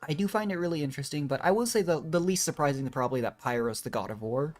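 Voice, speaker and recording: A woman's voice: low voice, lively intonation, fast talker, darkish tone, faint music behind. A woman streaming a game, giving casual, chatty commentary and musing aloud as she thinks things through.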